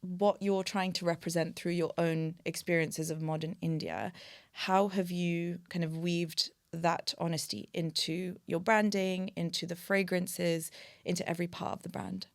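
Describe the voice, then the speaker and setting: A woman's voice: lowish voice, a little slow, no room sound, clean studio sound. A woman hosting a podcast interview, asking long, curious questions full of fillers. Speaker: podcast host.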